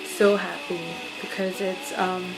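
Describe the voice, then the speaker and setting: A woman's voice: medium pitch, level tone, measured pace, creaky, over music, rough recording. A young woman from the Philippines vlogging to her phone camera late at night, sleepy and rambling with lots of fillers. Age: young adult. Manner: rambling, hesitant with many fillers. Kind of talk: casual webcam vlog.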